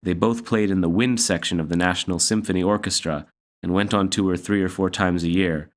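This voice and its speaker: A man's voice: sits low, fairly even intonation, brisk. A man narrating a children's story aloud from a book as a story reader.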